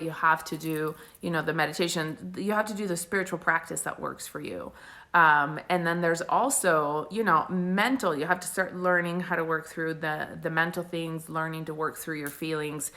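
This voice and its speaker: A woman's voice: on the low side, sharp tone. A woman in her forties from Italy, a spiritual coach talking casually to live stream viewers with many fillers. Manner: casual, chatty, many fillers and frequent laughs.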